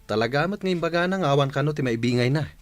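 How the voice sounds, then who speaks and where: A man's voice: fairly high pitch, expressive, fast, no room sound, lightly acted. A man playing a threatening henchman with an Ilocano accent, loyal to his partner, in a radio drama. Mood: loyal, plotting.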